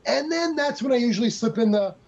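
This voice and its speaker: An excitable man chats through a movie review, acting out his own reactions and swearing freely. His voice sits fairly high and sounds husky. The recording is dull and lo-fi. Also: quick pace. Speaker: podcast co-host. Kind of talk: casual movie review chat.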